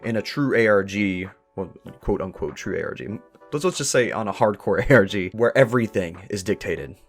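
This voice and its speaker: A man in a casual podcast discussion, explaining with quick enthusiasm. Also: lowish voice, lively intonation, faint music behind.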